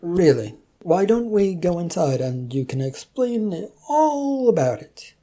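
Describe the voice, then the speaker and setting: A woman's voice: lowish voice, sing-song, slow, mellow, pitched above the performer's own voice, exaggerated voice. A woman voicing a nervous, placating male office tech worker in a narrated story, stammering and hesitant.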